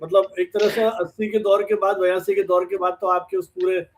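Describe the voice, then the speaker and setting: Older male interviewer, very high voice, reflective conversational style, deliberate phrasing. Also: flat delivery. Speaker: interviewer, host. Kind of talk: warm reminiscing interview in conversational Hindi.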